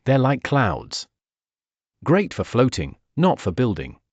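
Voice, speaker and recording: A man acts out a whimsical girl artist from a children's storybook. She is candid and matter-of-fact, with expressive, varied intonation in a dry recording. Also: medium pitch, slow pace, slightly rough, no room sound, dull audio, heavily acted. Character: colorful young female artist, candid and whimsical.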